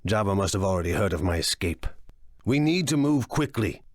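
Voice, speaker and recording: An actor playing a proud, ruthless crime lord in a video game, with an imperious, deliberate, menacing delivery. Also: male voice, on the low side, expressive, dry recording, studio quality, lightly acted. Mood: brisk command. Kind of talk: dramatic video game acting.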